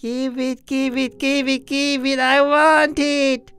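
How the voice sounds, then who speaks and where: A childish, grasping spirit in a storybook reading, its voice pitched very high, whiny and stretched out. It clings to every word in a slow, wheedling whine over faint music. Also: male voice, level tone, a bit bright, heavily acted. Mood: demanding.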